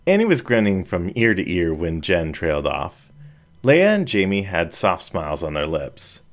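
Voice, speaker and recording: A man narrating a story in an even, measured reading. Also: sits low.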